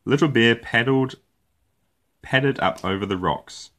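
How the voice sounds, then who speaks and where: A man reading a children's picture book aloud as host, with an expressive, deliberate storytelling delivery. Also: medium pitch.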